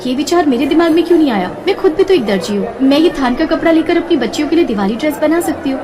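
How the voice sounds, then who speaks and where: A woman voicing a poor, loving mother who works as a tailor in a cartoon story, speaking gently. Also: sits high, lively intonation, quick pace, distant mic, crowd noise, voice pushed up. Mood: tender. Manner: tender, gentle. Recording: reverberant with soft background music.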